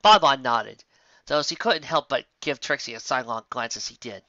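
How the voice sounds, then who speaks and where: A man narrates a fantasy story in a bright, forward voice. He reads expressively, and the recording is very dry and close. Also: mid-range voice, animated, normal speed, dry recording, highs cut off. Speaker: fan fiction reader.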